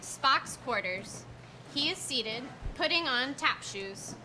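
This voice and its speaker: Female stage directions reader, high voice, scripted narration, clear background noise from a live theatre reading. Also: animated, slow pace. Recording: noisy live stage, distant sound.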